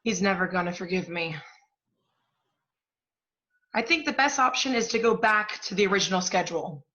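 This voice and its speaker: A driven, guilt-ridden professional woman played by an actress in a stage drama, with a mid-pitched voice. She speaks emphatically and apologetically, and the recording sounds dull and lo-fi. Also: animated, moderate pace, pushed and bright. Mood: businesslike. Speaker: apprentice stage actor. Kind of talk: stage play acting.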